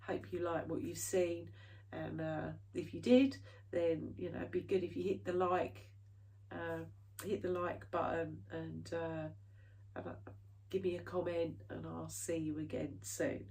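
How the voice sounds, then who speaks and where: A woman's voice: deep voice, fairly even intonation, a little slow, gravelly, warm tone, recorded from a distance, poor audio. A British woman chatting casually to her viewers in a rambling way, full of fillers and restarts, her nose a bit stuffy from hay fever. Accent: British English. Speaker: cross-stitch vlogger. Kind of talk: casual chat to camera.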